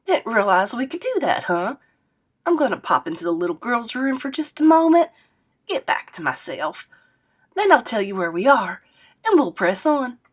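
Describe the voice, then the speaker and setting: A woman's voice: on the high side, very animated, moderate pace, husky, voice pushed up. A woman reading aloud and voicing a spunky, playful elderly grandmother who talks in a sassy, folksy way.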